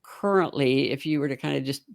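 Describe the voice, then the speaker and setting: A woman with a deep voice hosting an interview, talking in a very direct way. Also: fairly quick, gravelly, dry recording, studio quality. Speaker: podcast host. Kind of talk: interview conversation.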